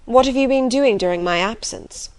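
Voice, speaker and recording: A woman voicing a brusque, commanding gentleman of the manor who gives imperious, clipped orders. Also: very high voice, normal speed, clean tone, no echo, voice pushed up. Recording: dry.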